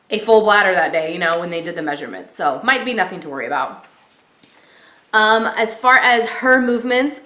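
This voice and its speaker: A woman's voice: medium pitch, bright, forceful tone. Woman, 30s, vlogger talking to camera in a small echoey room, nasal from a cold, chatty and rambling. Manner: chatty, many ums and likes. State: stuffy from a cold, clearing throat. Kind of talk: casual pregnancy update vlog. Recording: roomy echo.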